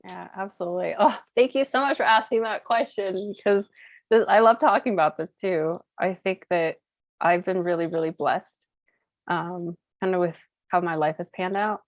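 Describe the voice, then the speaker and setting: A woman's voice: fairly low pitch, normal speed, no echo, lo-fi sound. A woman in her thirties from Colorado whose first language is Cantonese, chatting casually as an interview guest with lots of fillers. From United States (Colorado). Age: 30s. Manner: chatty, uses many fillers and laughs easily. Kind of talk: casual chat, storytelling.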